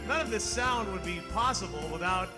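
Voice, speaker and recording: A man's voice: high voice, animated, a little fast. A man hosting a live curtain call, enthusiastically calling out to an audience and thanking them. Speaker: host introducing the cast. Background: loud music.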